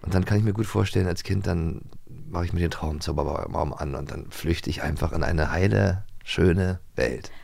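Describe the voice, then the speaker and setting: Male co-host from former East Germany, gravelly, lower voice, easygoing and halting, dry close mic. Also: no echo. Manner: relaxed, hesitant, with many restarts. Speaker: podcast co-host. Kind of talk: casual chat.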